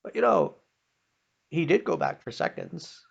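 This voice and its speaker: An older man with a slightly muffled, lo-fi sound tells homespun stories. His voice has plenty of gravelly fry, and he speaks in a folksy, halting manner, like someone recalling things as he goes. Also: on the high side, lively intonation. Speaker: storyteller. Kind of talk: informal spoken storytelling of personal anecdotes. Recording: dull, lo-fi sound.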